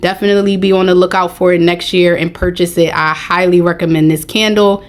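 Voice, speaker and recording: A woman hosting a casual product review vlog, talking in a matter-of-fact way, her voice a little raspy and hoarse from getting over being sick. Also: on the low side, fairly even intonation, fairly quick. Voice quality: slightly raspy. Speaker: video host, product reviewer.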